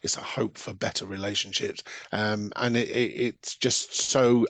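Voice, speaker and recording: A man talking as an interview guest, reflective and halting, then landing short emphatic statements. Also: fairly low pitch, steady tone, no room sound. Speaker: podcast guest from pantomime theatre. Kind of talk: conversational interview talk.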